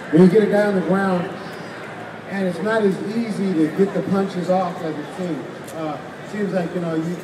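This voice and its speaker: A man speaking casually at a live demonstration, talking through technique and asking about it. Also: high-pitched. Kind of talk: casual spoken questions at a live demo. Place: large indoor expo hall. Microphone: distant handheld camera. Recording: distant, echoey hall with loud noise.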